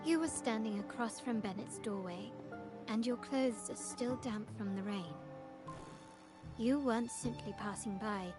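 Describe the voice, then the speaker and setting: An actress playing a composed, shrewd and commanding woman pursuer in a video game, speaking in a deliberate, probing way. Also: fairly high pitch, music in the background, natural voice. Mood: probing, accusatory. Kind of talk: scripted video game dialogue.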